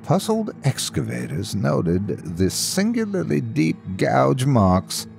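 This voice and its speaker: Gravelly, slow-paced male horror narrator. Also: medium pitch, wildly swooping pitch, darkish tone. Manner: theatrical, deliberate, measured delivery. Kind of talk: dramatic scripted horror storytelling. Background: faint background music.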